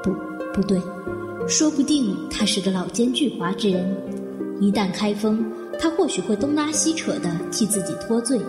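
A woman's voice: mid-range voice, expressive, moderate pace, natural voice. A woman playing a calculating, suspicious character thinking to herself in a detective audio drama, drenched in reverb. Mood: suspicious, brooding. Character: suspicious, calculating woman weighing suspects silently. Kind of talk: inner monologue in audio drama. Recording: echoing reverb over new-age music.

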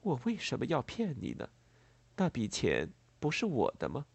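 A man voicing a composed, proud, tender gentlewoman in a storybook, speaking in a measured, earnest and formal way. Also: mid-range voice, wildly swooping pitch, creaky, slightly rough, no echo, pitched above the performer's own voice, exaggerated voice. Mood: earnest, firm.

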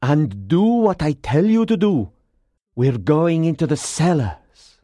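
A man acts out a wary Persian man for a storybook, grave and commanding. The voice sits fairly high and swings widely in pitch, with slow, ominous, deliberate phrasing. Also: very animated, warm tone, breathy edge, no room sound, studio quality, exaggerated voice. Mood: urgent.

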